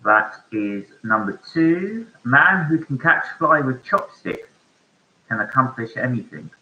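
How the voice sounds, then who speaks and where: A man hosting an online pub quiz, reading each question slowly and repeating it. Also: medium pitch, lively intonation. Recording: echoey room, dull lo-fi sound.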